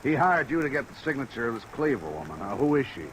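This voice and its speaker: An actor playing a plodding, fussy police lieutenant with a hesitant, folksy, patronising manner in an old-time radio drama. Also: male voice, mid-range voice, very animated, fast, lightly acted. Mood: fussy, questioning. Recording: noisy vintage radio recording under rain sounds.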